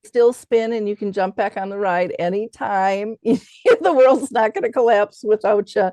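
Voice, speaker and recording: A woman's voice: medium pitch, unhurried, dark tone, no room sound. Chatty woman hosting, dry close sound. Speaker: interview show host. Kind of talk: conversational interview.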